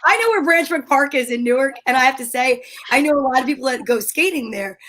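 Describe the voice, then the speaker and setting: A woman cohosts a casual online chat over a video call, high in pitch. She talks in a teasing, playful way. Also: expressive, fast talker, a bit bright. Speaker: host.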